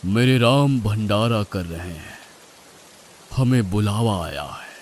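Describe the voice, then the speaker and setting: A man's voice: fairly low pitch, takes it slowly, a little hiss or hum. A man playing a commanding god who orders his attendants in a mythological cartoon, with authoritative delivery. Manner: authoritative, declaratory. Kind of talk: Hindi cartoon mythological acting.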